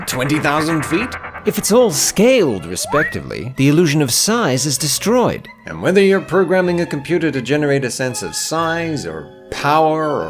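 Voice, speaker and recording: A man delivers scripted commentary as a video essayist. His pitch moves widely as he leans on words with theatrical, sardonic emphasis. Soft music plays behind him.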